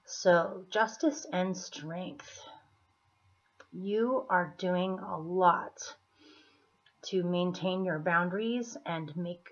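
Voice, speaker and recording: Muffled lo-fi recording, woman, lowish pitch, mildly hoarse, unhurried casual tarot reader, deliberate pauses. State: slightly hoarse, scratchy throat. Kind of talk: casual tarot reading, explaining cards. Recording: dull, muffled lo-fi audio.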